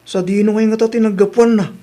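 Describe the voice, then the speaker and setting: A man's voice: sits high, flat delivery, fast, mellow, lightly acted. A man playing a grumbling, money-minded village husband in a radio comedy drama, questioning and nagging.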